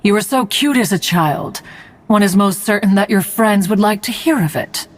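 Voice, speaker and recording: A woman's voice: medium pitch, expressive, moderate pace, close to an everyday voice. Woman voicing an archaic, teasing crane spirit. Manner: archaic speech, calls herself "one". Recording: game audio with background music.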